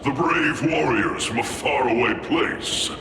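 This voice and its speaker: Grandiose, echoing talking lion statue. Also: male voice, medium pitch, a little slow, vocal fry, a crowd in the background. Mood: jovial, boastful. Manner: theatrical, grandiose, teasing. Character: jovial boastful talking lion statue, gossipy city informant. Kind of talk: video game voice acting. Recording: roomy echo, music behind.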